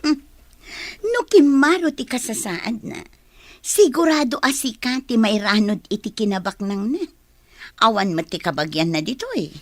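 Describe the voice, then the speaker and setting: A woman as a high, calculating Ilocano accomplice. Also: animated, normal speed, no room sound. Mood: suspicious. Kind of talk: radio-style drama dialogue.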